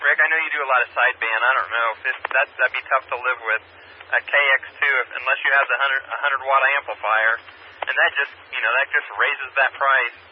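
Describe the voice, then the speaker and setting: A man chatting casually over a two-way ham radio, talking in a rambling, halting way. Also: medium pitch, fairly even intonation. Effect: two-way radio transmission. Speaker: amateur radio operator. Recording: muffled, noisy radio repeater picked up by scanner.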